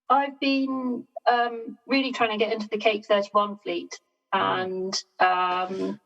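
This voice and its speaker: A woman being interviewed on a sailing podcast, talking in a measured, self-deprecating way and laughing often. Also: mid-range voice, fairly bright tone. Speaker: sailing guest. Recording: dull lo-fi sound.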